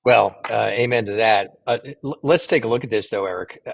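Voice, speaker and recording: A man co-hosting a talk podcast with conversational commentary in a husky voice. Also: fairly low pitch, a little fast. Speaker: podcast co-host.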